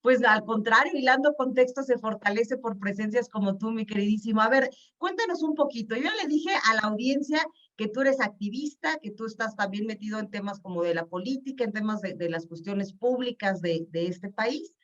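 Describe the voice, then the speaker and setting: A woman's voice: a little high, moderate pace, fairly bright tone, recorded from a distance. A woman hosting a web TV talk show, effusive and enthusiastic. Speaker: host. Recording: muffled sound from off-mic.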